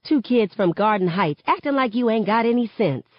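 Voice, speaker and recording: Woman voicing a stern, caring mother, animated. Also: fairly high pitch, moderate pace, dry recording, muffled recording, voice pushed up. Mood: scolding. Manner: admonishing, colloquial delivery. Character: stern, caring mother scolding her teenage child.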